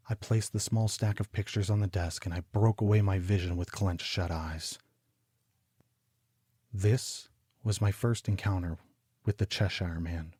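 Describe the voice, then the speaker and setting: An actor playing a brooding, obsessive homicide detective, narrating a noir audio drama in a clinical, deliberate manner. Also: male voice, deep voice, warm tone, breathy edge, no echo, studio quality. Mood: haunted, brooding. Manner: clinical, deliberate, measured delivery. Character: brooding, obsessive male homicide detective recounting grim cases.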